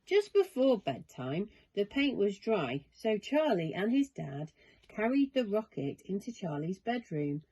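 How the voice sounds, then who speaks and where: A woman narrating a children's bedtime story in an expressive, measured, dramatic way. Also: medium pitch.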